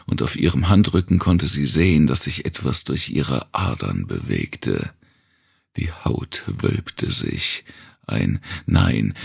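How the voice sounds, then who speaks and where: Extremely deep, gravelly male narrator. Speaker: audiobook narrator. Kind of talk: scripted dark thriller narration.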